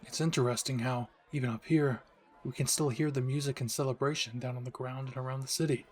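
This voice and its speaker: A man playing a calm, polite, literal-minded young anime pro hero, soft-spoken and deadpan, in an audio roleplay. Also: medium pitch, fast talker, lightly acted. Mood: calm, appreciative.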